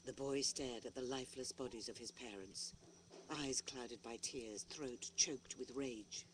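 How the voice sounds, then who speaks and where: A man's voice: medium pitch, a little slow, a bit bright, a little hiss or hum, natural voice, rough recording. A man playing a grave, dramatic storyteller narrating a fantasy legend in a scripted storybook style. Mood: solemn. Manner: dramatic, solemn. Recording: music behind.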